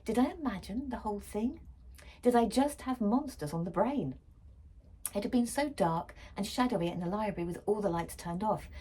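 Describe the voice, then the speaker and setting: Husky woman reading a children's story. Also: medium pitch, animated, normal speed, mellow. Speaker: storybook reader for a school library.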